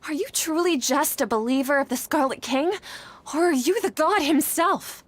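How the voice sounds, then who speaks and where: A woman plays a high-voiced young female scholar in a game drama. She is anxious and weighed down by guilt, choosing formal, earnest words. Faint noise sits behind the dialogue. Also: moderate pace, close to an everyday voice. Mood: earnest, resolved. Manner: earnest, troubled, formal wording. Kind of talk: dramatic video game voice acting.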